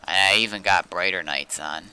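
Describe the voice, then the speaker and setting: A man's voice: low-pitched, moderate pace, sharp tone, a little hiss or hum, rough recording. A man streaming gameplay, chatting casually and in a laid-back way with viewers and a friend. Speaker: game streamer. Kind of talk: casual livestream gameplay chat.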